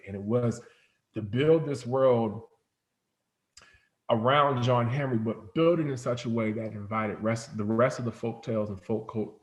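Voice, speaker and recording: An echoey recording of a man speaking as a guest in a casual interview. His voice sits at an ordinary middle pitch. He tells things loosely and informally, wandering through his account. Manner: informal, rambling storytelling with frequent "like". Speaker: interview guest, comics company founder.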